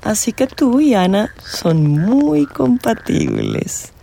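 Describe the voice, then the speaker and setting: A woman acting a mystical, teasing tarot reader in a radio drama, theatrical and suggestive, with dramatic pauses. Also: on the high side, wildly swooping pitch, gravelly, dark tone, slight background noise, full character voice. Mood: teasing, ominous. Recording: music behind the voice. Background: music.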